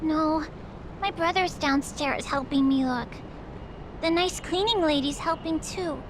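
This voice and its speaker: Sweet little kid girl for a game, super high voice, noisy background. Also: unhurried. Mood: earnest. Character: sweet young girl searching for her lost cat. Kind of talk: video game cutscene acting.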